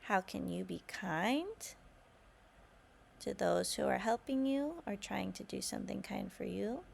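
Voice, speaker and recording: A woman's voice: medium pitch, animated, unhurried, gravelly, slight background noise. A woman reading a children's storybook aloud as scripted narration.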